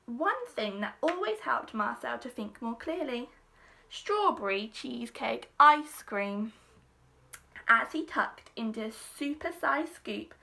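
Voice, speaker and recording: Female teacher as storybook reader, high and very bright voice, expressive delivery with dramatic emphasis. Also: vocal fry. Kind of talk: expressive children's storybook reading.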